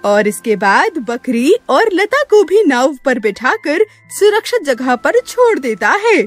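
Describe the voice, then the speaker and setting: Woman reading a kids moral story, slow n expressive, very sing-song range, sympathetic, bit of music behind. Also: high voice. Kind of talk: scripted children's moral story narration.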